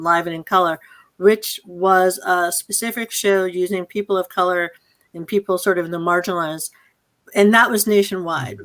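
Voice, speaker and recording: A woman's voice: lowish voice, a bit bright. A woman moderating a live question-and-answer session over a video call, speaking as the host.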